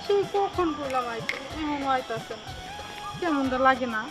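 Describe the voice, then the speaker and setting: A woman's voice: high-pitched, expressive, moderate pace, low-quality recording. A woman playing a wheedling, sulky village mistress in a comedy drama, with a Bangladeshi accent. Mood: impatient. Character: pouting, demanding village mistress wheedling her married lover. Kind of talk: Bengali web comedy drama acting. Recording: loud music under the voice.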